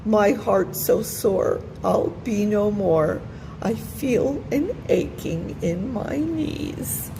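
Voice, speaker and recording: A woman's voice: medium pitch, extremely slow, creaky, noisy background, pitched above the performer's own voice. A woman voicing an innocent, emotional young male pig in a children's storybook, earnest and plaintive. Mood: mournful. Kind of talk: children's storybook character reading.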